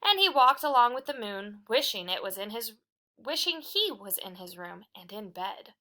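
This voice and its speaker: A woman reading a children's picture book aloud in an expressive storytelling style, with deliberate phrasing and dramatic emphasis. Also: fairly high pitch.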